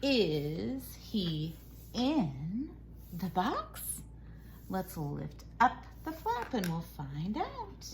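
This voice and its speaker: Very animated woman reading to children, noisy recording. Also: medium pitch, drawn-out words. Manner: exaggerated, sing-song, with dramatic gasps and questions to the child. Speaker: educator reading a picture book aloud. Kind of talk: animated children's read-aloud, modeling core words. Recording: loud noise behind the voice.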